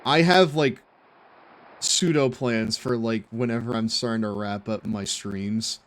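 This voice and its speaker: A man in his twenties with an average-pitched voice, talking off the cuff on a game livestream. His delivery is informal and jokey, full of slang and swearing. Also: unhurried, fairly bright tone. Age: 20s. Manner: slangy, profane, joking. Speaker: video game streamer. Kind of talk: casual livestream chat.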